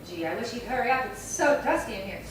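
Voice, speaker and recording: A woman playing an excitable, suspicious, scheming housewife in a screwball radio comedy, with theatrical exclamations and exaggerated reactions. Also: on the high side, fast, close to an everyday voice. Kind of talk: old-time radio comedy acting on stage. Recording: roomy, distant stage recording with hiss.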